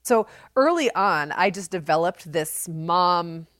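A woman in her forties co-hosting a podcast, telling stories in a loud, animated, projecting voice. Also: lowish voice, slow pace, no room sound, studio quality. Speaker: podcast co-host. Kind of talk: casual chat.

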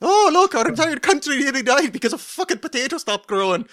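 A man putting on an exaggerated stage-Irish leprechaun voice with loud, cursing jokes. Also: very high-pitched, sing-song, a little fast, pitched above the performer's own voice, heavily acted. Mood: rowdy.

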